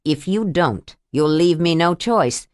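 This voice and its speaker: A woman narrator voicing a cold, controlling abusive man who speaks in a soft, menacing, deliberate way. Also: fairly low pitch, lively intonation, no room sound, clean studio sound.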